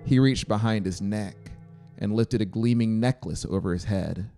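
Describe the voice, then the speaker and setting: A dark, creaky-voiced man in his thirties reads a story aloud. His voice sits low, and he is close to the mic in a small studio, narrating an audiobook. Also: moderate pace, faint music behind. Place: small brick-walled home studio. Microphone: close dynamic mic on a boom arm.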